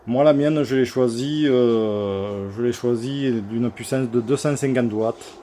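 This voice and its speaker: Mellow-voiced man, halting, with background noise. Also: mid-range voice. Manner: hesitant, halting delivery with many hesitation sounds. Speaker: radio repair technician presenting his work. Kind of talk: informal equipment demonstration. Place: electronics workshop.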